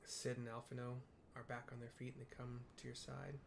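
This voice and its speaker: A man's voice: medium pitch, completely flat delivery, a little fast, vocal fry, over music. A man describes a video game scene as he plays, speaking casually and loosely. He often hesitates and restarts as he searches for words. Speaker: player narrating a game. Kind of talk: casual live audio description of gameplay.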